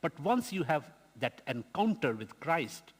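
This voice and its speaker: A man preaching an earnest sermon, telling a story with halting restarts and pauses. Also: fairly high pitch, sing-song, breathy edge. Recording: long church reverb.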